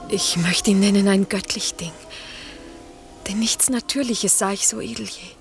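An actress playing an innocent young daughter in a classic German radio drama, tender and earnest, with a breathy voice. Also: female voice, mid-range voice, fairly even intonation, normal speed, soft background music, lightly acted. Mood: awed. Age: young adult.

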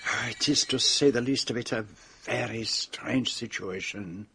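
An actor playing a polite, observant foreign detective in a radio drama, with a French-speaking European accent and formal, precise phrasing. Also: male voice, medium pitch, measured pace, sharp tone, a little hiss or hum. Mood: grave, assured. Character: polite, observant middle-aged male foreign detective, also narrating. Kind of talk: radio drama dialogue and narration.

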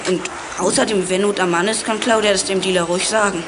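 A woman's voice: medium pitch, steady tone, fast, lightly acted. A woman playing a confident, reassuring youngster from a detective gang in a youth radio play. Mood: reassuring, knowing. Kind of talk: 1980s German youth radio play acting. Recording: noisy old radio play recording.

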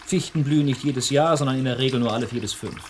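An actor playing an evasive, patronizing official in a radio play, with a brusque, dismissive manner. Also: male voice, mid-range voice, fast talker, a little hiss or hum, lightly acted. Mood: urgent pleading. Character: evasive male forestry official, dismissive, patronizing, quietly threatening. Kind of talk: German radio play acting.

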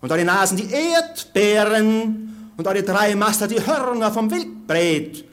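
Casting: pedantic country schoolmaster, officious and servile, fairly high voice, vintage radio comedy. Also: male voice, expressive, unhurried, a bit bright. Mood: bossy drilling. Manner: pedantic, barking then obsequious. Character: bossy village schoolmaster drilling peasants. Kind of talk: 1950s German radio comedy acting.

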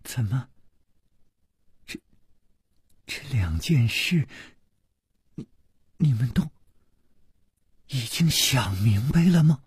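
A man's voice: low-pitched, steady tone, measured pace, few pauses, dark tone. A man voicing a cool, guarded, sardonic schemer in a wuxia audiobook. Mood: shaken. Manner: sneering, hesitant when cornered.

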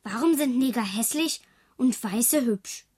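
A woman's voice: a little high, expressive, a little slow. A female performer playing an earnest, plaintive little girl who talks insistently to her mother in a radio play. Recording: very noisy radio play recording.

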